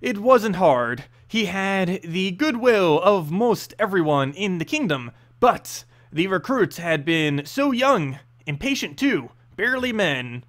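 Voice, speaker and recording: A man narrating a fantasy story in an expressive, dramatic storyteller's manner. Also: high voice, slow, few pauses. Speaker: narrator reading game lore.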